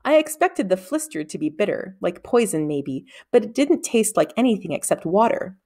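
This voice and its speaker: A woman reading a storybook aloud in expressive narration. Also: mid-range voice, normal speed. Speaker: audiobook reader.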